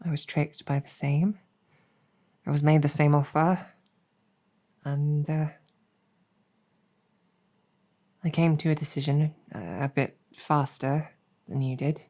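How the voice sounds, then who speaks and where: A woman voicing a weary, earnest demon general in an intimate audio roleplay, speaking reflectively with hesitant pauses. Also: sits low, fairly even intonation, warm tone.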